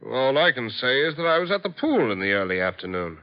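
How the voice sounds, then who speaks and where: Shifty, animated suspect, old radio. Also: male voice, medium pitch, brisk. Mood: uneasy. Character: defensive, shifty male artist's agent under suspicion. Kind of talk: 1950s American radio mystery acting. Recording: dull vintage 1950s radio recording.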